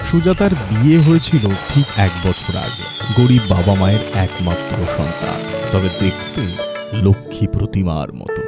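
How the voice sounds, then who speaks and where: A man narrating a scripted story in a dramatic, deliberate way, pausing between phrases. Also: on the low side, animated. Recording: loud music under narration.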